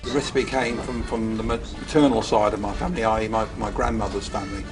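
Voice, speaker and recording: An older man reminiscing in a measured way, repeating words as he recalls. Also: on the low side, little pitch movement, over music. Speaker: interviewee.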